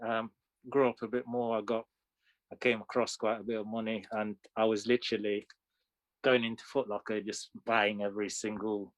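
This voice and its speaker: A man in his thirties, originally from Sudan, speaks as a guest in an interview. His voice sits a little low. He tells his story in a casual, conversational way, recorded close and dry with very little room sound. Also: level tone, moderate pace, no echo, low-quality recording. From Sudan, moved to the UK when young. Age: 30s. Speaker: interview guest, sneaker collector.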